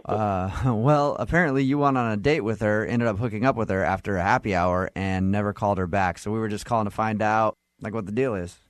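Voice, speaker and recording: A man's voice: fairly low pitch, fairly quick, few pauses, smooth, no room sound, clean studio sound. An American man hosting a radio phone-in segment with teasing, casual, probing banter. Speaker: radio show host.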